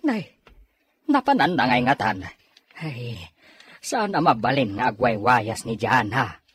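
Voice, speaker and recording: A man playing a grumbling middle-aged village father in an Ilocano radio drama, with a rough voice and an Ilocano accent. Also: medium pitch, very animated, measured pace, a bit bright, heavily acted.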